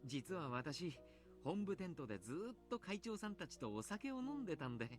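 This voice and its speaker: An actor playing a jovial, kindly adult coach in a visual novel, polite, chatty and playfully teasing. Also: male voice, on the high side, big pitch swings, racing, soft background music. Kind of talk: Japanese visual novel voice acting.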